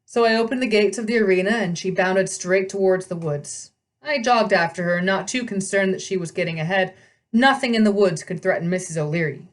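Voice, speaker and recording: A woman narrating a storybook aloud in the first person, expressively, as a storyteller. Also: medium pitch.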